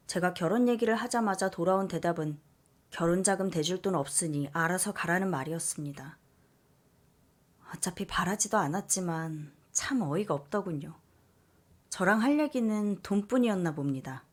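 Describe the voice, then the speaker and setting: A woman narrating a listener's story in the first person, reading aloud with expressive rises at dramatic turns. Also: lowish voice.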